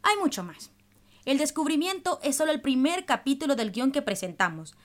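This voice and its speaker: Lady radio host, voice a bit high, explains stuff like a teacher, scripted. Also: sing-song, moderate pace. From El Salvador. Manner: explanatory, didactic. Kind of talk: scripted radio presentation in dialogue.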